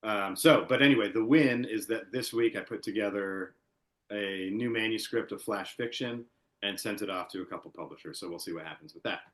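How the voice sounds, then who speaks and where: A man's voice: lowish voice, fairly quick, fairly bright tone. A man, a guest editor and writer, talking earnestly and reflectively in a casual interview chat.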